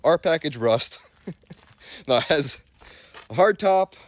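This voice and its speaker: A man's voice: on the high side, animated, slow pace, no room sound. Congested man rambling on a car walkaround. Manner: loose, rambling, self-deprecating chatter. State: congested with a head cold. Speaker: car vlogger. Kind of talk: casual car walkaround vlog. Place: outdoors in a gravel driveway and inside a small car. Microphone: phone camera selfie view.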